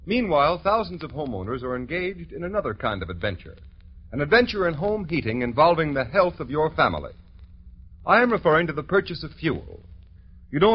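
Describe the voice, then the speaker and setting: Animated, husky vintage radio announcer, male. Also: fairly high pitch. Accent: American. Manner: emphatic, formal. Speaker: announcer reading a sponsor message. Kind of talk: 1940s radio announcing, scripted pitch. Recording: muffled old-time radio recording.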